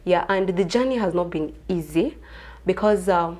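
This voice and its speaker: A woman in her twenties from Kenya, a pageant contestant answering interview questions in an earnest, soft-spoken way. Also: on the low side, normal speed. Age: 20s.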